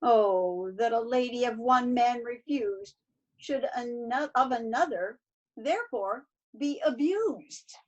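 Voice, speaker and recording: A woman's voice: on the high side, lively intonation, takes it slowly, lo-fi sound. A woman playing a desperate, self-pitying young Athenian woman in a stage verse read-through over a video call, plaintive and theatrical. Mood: hurt, indignant.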